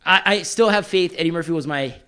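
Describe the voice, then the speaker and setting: A man's voice: fairly high pitch, fast talker, bright, forceful tone, smooth. Easygoing, slightly nasal man. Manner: easygoing, laughs often. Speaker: podcast co-host. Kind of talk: casual chat presenting news items. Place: studio with several mics.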